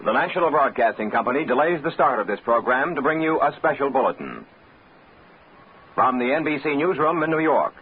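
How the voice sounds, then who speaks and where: A man's voice: medium pitch, husky. An American man reading a scripted news bulletin in 1950s radio announcer style. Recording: tinny old radio recording.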